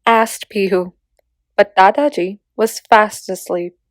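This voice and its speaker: Woman, storybook narrator, medium pitch, dry close recording, scripted children's read-aloud. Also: fairly even intonation, slow pace, no room sound.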